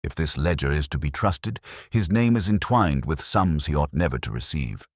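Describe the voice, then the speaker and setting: A man voicing a keen, commanding detective in an audiobook, speaking in formal, deliberate phrases. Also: a bass voice, animated, dry recording, clean studio sound. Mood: analytical. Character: male master detective, keen, commanding and coolly analytical.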